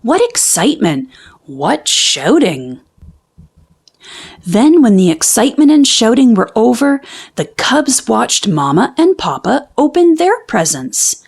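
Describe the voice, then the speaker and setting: A woman reading a children's storybook aloud as an expressive narrator. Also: a little high, takes it slowly, vocal fry, breathy edge.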